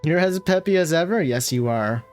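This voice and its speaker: A man's voice: on the high side, lively intonation, moderate pace, darkish tone. A man doing casual livestream reaction commentary, gushing playfully over a video game. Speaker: game streamer. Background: game music.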